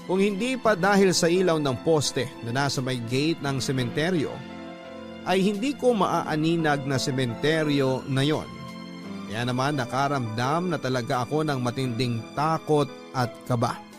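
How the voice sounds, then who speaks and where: A man reads a listener's letter in first person, in steady radio-drama narration. His voice sits at an average pitch, and a clear music bed plays behind him. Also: measured pace. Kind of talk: scripted narration.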